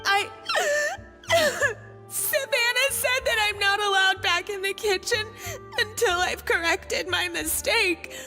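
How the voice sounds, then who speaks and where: A woman's voice: very high-pitched, takes it slowly, pushed and bright, heavily acted. A woman voicing an anxious, apologetic young cook in a video game, stammering and pleading. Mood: tearful pleading. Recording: reverberant, faint music behind.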